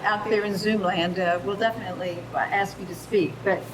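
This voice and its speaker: A woman moderating a public session informally, encouraging and pausing as she goes, in a noisy recording. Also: steady tone. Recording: noisy room recording with low rumble.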